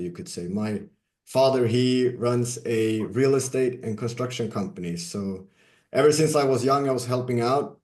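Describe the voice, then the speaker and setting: A man from Sweden, a guest on an interview podcast, telling his career story in a measured, casual way with some fillers. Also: medium pitch, darkish tone, roomy sound. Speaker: guest, software company CEO.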